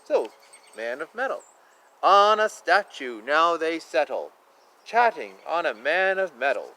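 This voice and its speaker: Man, high-pitched bright voice, children's storybook reading, slow sing-song delivery, outdoor noise. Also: sing-song, rough recording. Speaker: children's book reader. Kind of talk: reading picture books aloud with asides. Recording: outdoor recording with clear ambient noise. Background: outdoor ambience.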